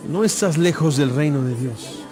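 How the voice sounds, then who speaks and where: A man plays a composed, authoritative holy teacher in a biblical audio drama. His voice is on the higher side, solemn and emphatic, and music plays clearly underneath. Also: expressive, moderate pace, natural voice. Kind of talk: dramatized audio Bible acting. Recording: music playing behind.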